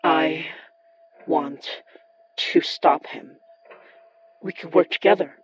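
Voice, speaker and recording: A man playing a determined, guarded occult investigator in a horror audio drama, with a measured, probing delivery. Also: a little high, steady tone, vocal fry, dull audio, slight background noise. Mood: resolute. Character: determined, guarded young occult investigator who summons spirits.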